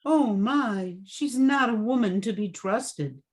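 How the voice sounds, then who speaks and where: A woman acting a stern, concerned wife in a radio drama, with a prim, gently scolding manner. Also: a little high, slow pace. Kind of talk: amateur old-time radio drama acting.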